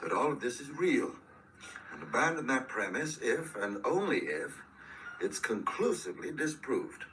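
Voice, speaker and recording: An actor playing an earnest, resolute older schoolteacher in a radio drama, with precise, formal phrasing. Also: male voice, medium pitch, big pitch swings, normal speed, a bit bright. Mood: earnest, brisk. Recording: distant replayed radio drama, faint hiss.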